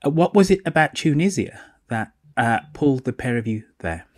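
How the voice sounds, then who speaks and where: A man's voice: medium pitch, very animated, normal speed, a little mellow, dry recording, studio quality. A man hosting a podcast interview, chatty and self-deprecating, with rambling questions. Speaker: podcast host. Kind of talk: podcast interview over a remote call.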